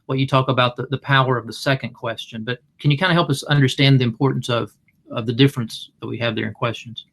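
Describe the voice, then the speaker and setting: A man's voice: mid-range voice, steady tone, fast talker, vocal fry, a bit bright. A man co-hosting a conversational discussion. He is reflective and asks long, open questions. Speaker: co-host and interviewer.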